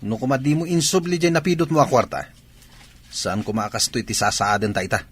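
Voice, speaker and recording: A man playing a lazy, scheming married younger brother in a Filipino radio comedy-drama, with a husky voice and a Filipino accent. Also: mid-range voice, a little fast, lightly acted. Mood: brash, mocking.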